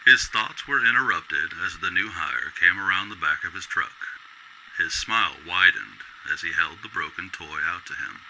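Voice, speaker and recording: Man, radio drama narrator, very low pitch, intense sharp tone, faint noise. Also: moderate pace, poor audio.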